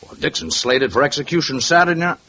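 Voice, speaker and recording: An actor playing a brisk, offhand male police sergeant in a 1950s radio drama. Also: fairly high pitch, bright, forceful tone, low-quality recording. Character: male police sergeant, busy, helpful colleague.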